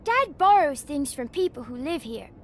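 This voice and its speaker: A woman's voice: high voice, sing-song, slight background noise. A woman voicing a timid, innocent young boy toad in a video game, speaking hesitantly. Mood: shy, unsure. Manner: hesitant, slow. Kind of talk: dramatic video game dialogue.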